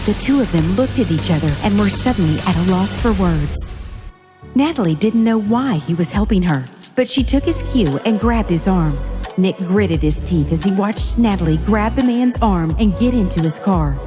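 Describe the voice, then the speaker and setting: A woman with a mid-pitched voice delivers dramatic scripted narration. Her voice carries a long reverb, with music clearly underneath. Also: expressive, moderate pace.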